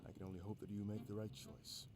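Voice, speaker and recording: A man's voice: fairly low pitch, level tone, fast, a little mellow, slight background noise, lightly acted. A man voicing a grim, reluctant official in a fantasy visual novel. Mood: grudging.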